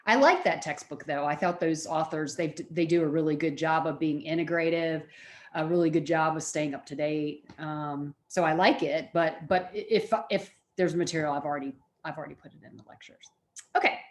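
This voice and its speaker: A middle-aged woman speaks as a college lecturer. Her voice sits a little low for a woman. She explains in a conversational, informal way, as if talking directly with her class. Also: steady tone, normal speed.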